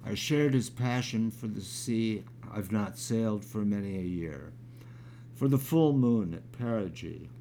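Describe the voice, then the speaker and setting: A man in his sixties with a crackly, gravelly voice, reading his own poem aloud, halting with fillers when he talks and measured when he recites. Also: fairly low pitch, dark tone. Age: 60s. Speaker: poet.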